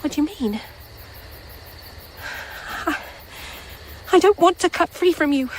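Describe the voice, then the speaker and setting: An actress playing a defiant, passionate young poetry student in a radio drama, impulsive and emotional. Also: female voice, high-pitched, animated, unhurried, slight background noise. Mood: hurt, pleading.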